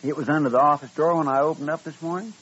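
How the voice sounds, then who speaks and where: An actor playing an earnest, deferential deputy with an in an old radio western. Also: male voice, on the high side, steady tone, racing, a bit bright, slightly rough. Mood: eager, concerned. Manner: folksy, eager, respectful. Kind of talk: 1950s American radio western acting. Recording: dull, hissy old-time radio recording.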